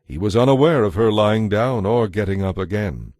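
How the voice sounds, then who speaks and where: A man narrates a dramatized Bible story from a script. His voice sits on the low side, and the recording is dry with no room sound. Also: animated, moderate pace, darkish tone, no room sound.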